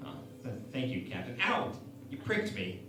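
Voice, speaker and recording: A man's voice: medium pitch, big pitch swings, normal speed, noisy background. A man playing a naive, sheepish hologram crewman in a sci-fi parody radio play, hesitant and understated. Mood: sheepish, indignant. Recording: echoey, distant, music underneath.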